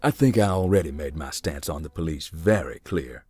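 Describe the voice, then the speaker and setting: Actor as a low, dry, guarded ghost believer. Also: animated, moderate pace, dark tone, slightly rough, no room sound, faint music behind, full character voice. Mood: matter-of-fact. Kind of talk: visual novel voice acting.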